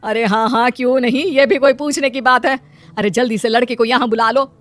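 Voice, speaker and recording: A woman plays a middle-aged mother in a moral-story cartoon. Her voice is fairly high, over light music. She nags in a scolding, exasperated manner. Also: steady tone, a little fast, lightly acted. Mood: eager.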